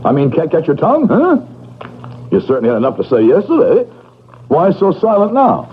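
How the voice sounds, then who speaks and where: A man's voice: on the high side, wildly swooping pitch, fairly quick. A crusty old man grumbles and barks in curt bursts, his voice lurching widely up and down. It is radio drama acting, heard through a narrow, phone-like old recording with some hiss. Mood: gruff, grudging. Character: gruff, irascible old man, grudgingly soft-hearted. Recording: muffled, noisy 1970s radio recording.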